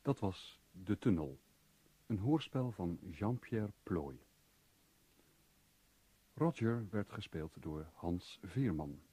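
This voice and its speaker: A man reading a formal, measured radio announcement as a continuity announcer. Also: low-pitched, expressive, warm tone, breathy edge, dry recording.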